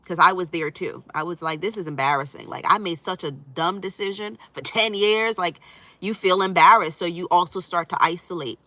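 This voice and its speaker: Woman, middle-aged, relationship coach, stuffy from a cold, bright tone, dry close sound, reassuring chatty manner. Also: on the low side, moderate pace, no echo. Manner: reassuring, conversational, frequent "right?" and "you know". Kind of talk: live stream chat reading viewer comments.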